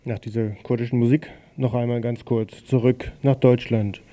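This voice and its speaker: A man presenting on community radio, reading out a newspaper commentary in a measured, pointed way. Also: medium pitch, level tone, mellow. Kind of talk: reading a press commentary on air.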